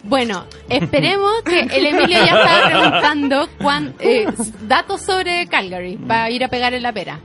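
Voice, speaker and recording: Una mujer con acento chileno que conduce una charla casual, bromeando y provocando a sus invitados. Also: female voice, high voice, sing-song, normal speed, hardly any pauses, loud music behind the voice. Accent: Chilean Spanish. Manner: teasing, playful. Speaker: host. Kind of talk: casual chat.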